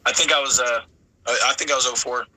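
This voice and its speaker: A man's voice: mid-range voice, fairly even intonation, fast talker, bright, forceful tone, dry recording, poor audio. An American man from Florida in his late thirties, chatting casually in slangy, informal speech. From Florida, United States. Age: late 30s. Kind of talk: casual chat.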